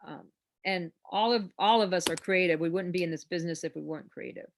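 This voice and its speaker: A woman's voice: on the low side, quick pace, a little hiss or hum. A woman hosting a real estate class on a video call, coaching in a chatty, encouraging way that rambles with fillers. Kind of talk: informal coaching talk.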